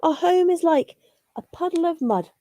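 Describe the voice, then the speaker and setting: Polite little storybook worm played by a woman: high, soft-toned, sing-song, pleading for help. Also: lively intonation, normal speed, no echo. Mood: politely pleading. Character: small homeless worms, polite and pleading, storybook.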